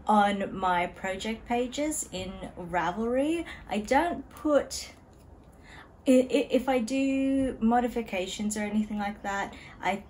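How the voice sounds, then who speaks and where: A woman chatting casually to camera as a knitting vlogger at home, relaxed and chatty with frequent ums and small laughs. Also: mid-range voice, a little hiss or hum, poor audio. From Australia. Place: home room beside a desk.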